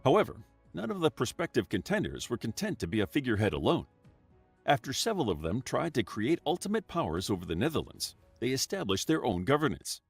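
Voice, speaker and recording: A man reading scripted history documentary narration in an even, measured way, played from a video. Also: mid-range voice, creaky, husky, no echo, faint music behind.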